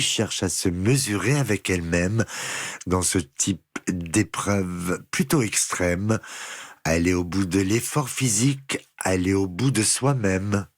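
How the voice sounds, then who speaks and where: Man, reporter, very low voice, calm voice-over, dry sound. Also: no echo. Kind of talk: scripted report narration.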